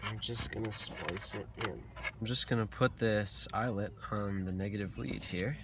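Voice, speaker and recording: A man's voice: fairly low pitch, normal speed. A man giving a casual, plainspoken step-by-step tutorial, explaining each step as he works. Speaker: DIY tutorial host. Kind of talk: casual step-by-step how-to narration. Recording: clearly noisy hobby video with clicks.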